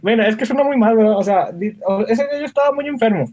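Young Mexican man, high-pitched, slangy. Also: lively intonation, fast talker, a little mellow. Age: young adult. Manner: slangy, swears casually. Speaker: podcast co-host. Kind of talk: casual podcast chat, personal storytelling. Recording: dull lo-fi voice audio.

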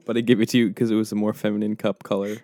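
Soft-spoken mumbly male podcast host. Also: lowish voice, little pitch movement, racing, no echo, clean studio sound. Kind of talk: casual chat.

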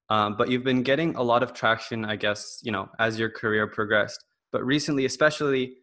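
A man hosting a podcast interview, asking questions in a relaxed, encouraging way. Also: medium pitch. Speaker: podcast host. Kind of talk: casual interview chat.

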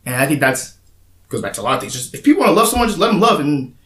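A man's voice: on the high side, big pitch swings, rapid-fire, fairly bright tone. A young man hosting a podcast, speaking candidly in a casual, rambling way with frequent restarts and fillers. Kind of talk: casual conversation. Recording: roomy echoey room.

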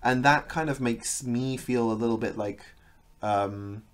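A man hosting an interview, talking casually in a thoughtful, measured way with some hesitation. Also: mid-range voice. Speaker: podcast host.

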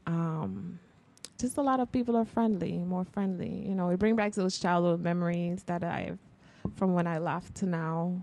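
Chatty Guyanese woman, lowish voice. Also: normal speed, a little mellow. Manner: chatty, laughs often while telling stories. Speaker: podcast guest. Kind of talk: casual interview chat.

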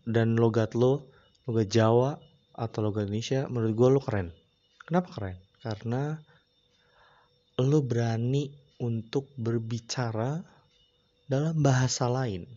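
A man hosts his own podcast alone, drifting through his thoughts in a loose, slangy way and pausing often to search for words. His voice sits at an ordinary pitch with a dark, mellow tone. The recording sounds a little dull and lo-fi. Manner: relaxed, rambling, slangy, with frequent hesitations. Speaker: podcast host. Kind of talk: casual solo podcast chat.

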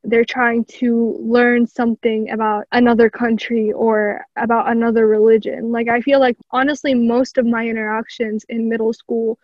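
A woman's voice: on the high side, level tone, moderate pace, hardly any pauses, no echo, highs cut off. A young woman of Palestinian origin, a guest in a casual interview, speaking in an earnest, reflective way with frequent fillers. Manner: earnest, reflective, uses "honestly" and "like" often.

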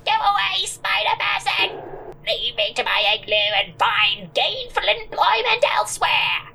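Man putting on a shrill, creaky, miserable woman. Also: big pitch swings, measured pace, pushed and bright, exaggerated voice. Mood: angry, tearful. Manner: shrill, dramatic, commanding. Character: miserable, hostile woman holed up in an igloo.

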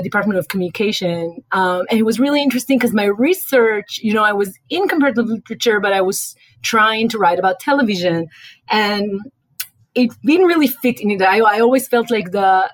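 A woman's voice: mid-range voice, dry recording. A woman with an Israeli accent chats as an interview guest, circling her thoughts with fillers and restarts. Her voice sits in a middle register and comes across dry and close, with no room sound. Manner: chatty, thinks aloud with many fillers and restarts. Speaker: guest, writing and life coach. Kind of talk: casual interview talk.

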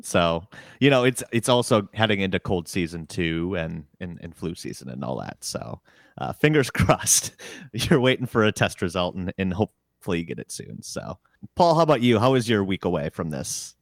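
A man with a very low voice hosts a casual sports podcast. He rambles easily and chuckles between thoughts, recorded close and dry. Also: normal speed, vocal fry, no room sound. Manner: relaxed, rambling, chuckles often. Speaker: podcast host.

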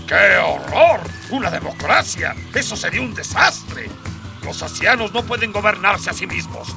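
A man's voice: high voice, lively intonation, moderate pace, gravelly, distant mic, over music. A man playing a haughty, scornful elitist colonel in a radio drama, pompous and disdainful.

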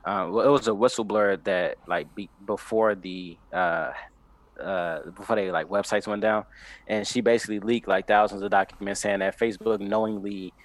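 Low, close-sounding young man, casual. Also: steady tone, normal speed, no echo. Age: mid 20s. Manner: slangy, checks listeners follow. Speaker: podcast co-host. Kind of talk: casual explanation and discussion.

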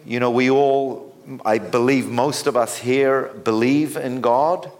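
Slow, halting New Zealand man preaching. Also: medium pitch, fairly even intonation, darkish tone. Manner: halting, with many fillers and restarts. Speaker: guest speaker. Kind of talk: sermon.

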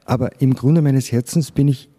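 An older man with a Carinthian Austrian accent speaks in a very dark, mellow voice. He gives candid, reflective personal testimony in an interview, with emphatic stresses. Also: medium pitch, steady tone, moderate pace. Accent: Austrian German (Carinthian). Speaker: interviewee, physician.

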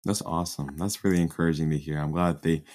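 A young man hosting a casual podcast interview, relaxed and quick to laugh. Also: a bass voice. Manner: relaxed, laughs often, easygoing. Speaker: podcast host.

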